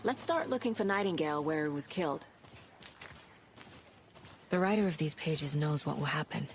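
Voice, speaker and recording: An actress playing a composed, analytical investigator, serious and measured, in a video game. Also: female voice, deep voice, a little hiss or hum. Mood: pensive, determined. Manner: measured, probing. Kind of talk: naturalistic video game acting.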